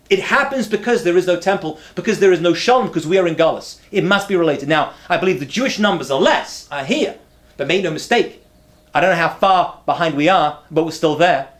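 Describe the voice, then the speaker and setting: A man in his thirties, a rabbi who is not from America, giving a lively lecture to camera with punchy repetition and humorous asides. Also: high-pitched. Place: indoor study with bookshelves.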